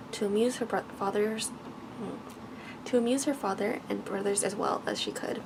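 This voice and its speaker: Soft, creaky-voiced woman reading ASMR bedtime story. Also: mid-range voice. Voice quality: soft, breathy, often slipping into whisper. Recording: noticeable background noise.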